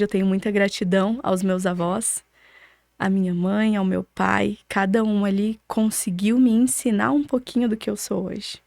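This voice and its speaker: A woman's voice: mid-range voice, darkish tone, dry recording, clean studio sound. A woman from Paraná with a Brazilian accent, a podcast guest telling her story casually and reflectively in short listed phrases. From Cambé, Paraná, Brazil.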